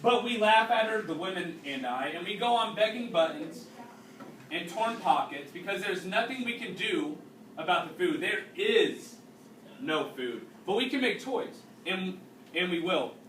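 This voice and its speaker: Bright-voiced male teacher reading aloud, roomy sound. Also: high voice, expressive. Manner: explanatory, pausing to question young listeners. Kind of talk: read-aloud storytelling with explanations and questions. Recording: roomy, distant mic with faint noise.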